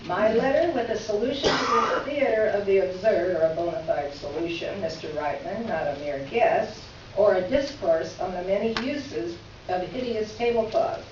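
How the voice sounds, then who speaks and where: A woman's voice: medium pitch, normal speed, few pauses, natural voice. A woman performing a readers theater piece as an exasperated, sarcastic writer reading out her complaint letter with emphatic delivery. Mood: indignant, insistent. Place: reverberant room, recorded from a distance. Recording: echoey room, distant mic, muffled, faint noise.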